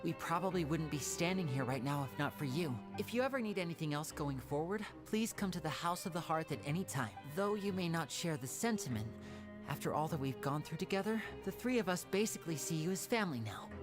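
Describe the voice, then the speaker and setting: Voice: medium pitch, expressive, fairly quick, natural voice. An actor voicing a composed, clever young man in an anime game, articulate and analytical, protective of his own. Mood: warm, earnest. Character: composed, clever young male stage magician, protective brother. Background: game music.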